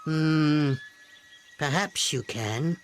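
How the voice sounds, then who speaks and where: A woman voicing a wily, secretive old father-in-law in a storybook tale, speaking emphatically with dramatic pauses. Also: sits low, faint music behind, voice pushed down. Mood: musing.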